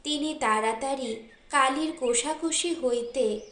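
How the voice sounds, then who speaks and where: A woman reads a literary story for an audiobook in a measured, dramatic manner. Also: sits high, a bit bright. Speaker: audiobook narrator. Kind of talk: literary scripted story narration. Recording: roomy, echoing voice recording.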